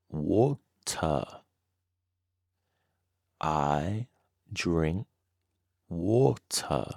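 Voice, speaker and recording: A man reading a slow, scripted beginner English lesson, saying each short sentence twice with deliberate pauses. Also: sits low, dry recording, clean studio sound. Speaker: English teacher.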